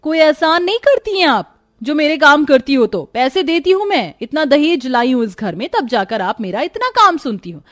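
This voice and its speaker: A woman's voice: high voice, big pitch swings, moderate pace, dry recording, clean studio sound. A woman voicing an arrogant, rude, rich young daughter-in-law in a moral cartoon story. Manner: haughty, scornful.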